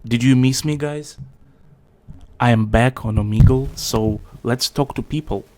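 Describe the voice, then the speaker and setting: A man hosting his video channel, arguing his points calmly in a casual video chat. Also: medium pitch, measured pace. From Russia. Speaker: channel host.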